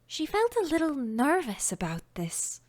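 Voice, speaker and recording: Woman audiobook narrator, high voice, slow gentle storytelling, soft whispers. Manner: gentle, expressive storytelling, drops to whispers at times.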